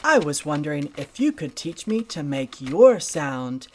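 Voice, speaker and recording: A woman's voice: low-pitched, sing-song, measured pace, a little hiss or hum. A woman voicing an eager, polite young pig in a children's puppet show, chirpy and full of oinks. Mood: eager, cheerful.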